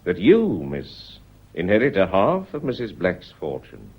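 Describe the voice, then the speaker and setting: An actor playing a shrewd, genial older police inspector in a radio drama, courteous, probing and drily understated, with a husky voice. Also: male voice, low-pitched, huge pitch swings, unhurried, full character voice. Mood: shrewd, pointed. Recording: muffled old radio drama recording.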